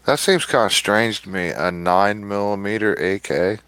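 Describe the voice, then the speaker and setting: A man's voice: fairly low pitch, normal speed, a bit bright, clear, resonant tone, no room sound. A man speaking as a co-host on a live stream, in casual conversation. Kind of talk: casual chat.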